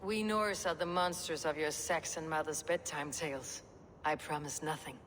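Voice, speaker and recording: A woman's voice: deep voice, fairly even intonation, normal speed, fairly bright tone. A woman playing a stoic, wry and commanding Norse warrior woman in a video game. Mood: dry, wry. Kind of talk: video game dramatic acting. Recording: reverberant with faint background noise.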